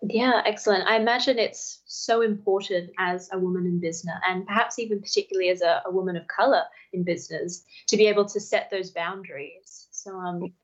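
A woman's voice: lowish voice, fairly even intonation, brisk, hardly any pauses, highs cut off. A woman co-hosting a radio show, interviewing in a chatty, informal way. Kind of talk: conversational interviewing.

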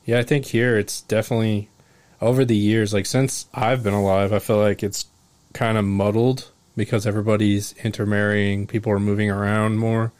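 A man's voice: lowish voice, level tone, moderate pace, a little mellow, clean tone, no echo. A man from Oklahoma with a mild Oklahoman accent, chatting casually and rambling with many fillers. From Oklahoma, USA. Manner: rambling, filler-heavy, laughs often. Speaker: conversation partner. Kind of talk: casual chat.

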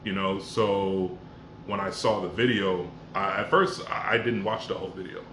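A man in his forties, born in Jamaica and raised in Miami, speaks in a very low voice. He tells his story in an earnest, reflective way. The room is echoey, with clear background noise. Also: fairly even intonation, normal speed, fairly bright tone. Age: 40s. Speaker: podcast guest. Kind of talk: casual conversational podcast.

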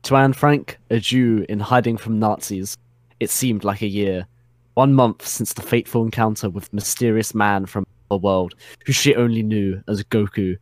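A man reads fan fiction aloud as a narrator, in a fairly low voice. The sound is close and dry, and the delivery is mock-solemn, like a spoof dramatic reading. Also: fairly even intonation, normal speed, no room sound.

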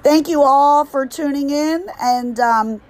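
This is a woman in her fifties co-hosting a casual talk podcast with a friend. Her voice sits a touch high and comes out somewhat hoarse and raspy. She chats easily and laughs often. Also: takes it slowly, a little mellow. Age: 50s. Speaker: podcast co-host. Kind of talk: casual chat.

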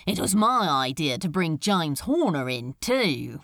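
A woman's voice: deep voice, sing-song, measured pace, fairly bright tone, no echo, studio quality, pitched below the performer's own voice, heavily acted. A woman voicing a cocky, nonchalant male demon in a read-aloud story, with a casual, boastful manner.

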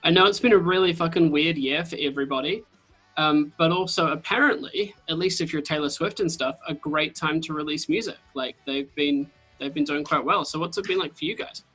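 A man with a New Zealand accent hosting a podcast interview over a video call, chatty and excitable, swearing casually. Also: on the high side, a little fast, breathy edge, soft background music. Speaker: podcast host.

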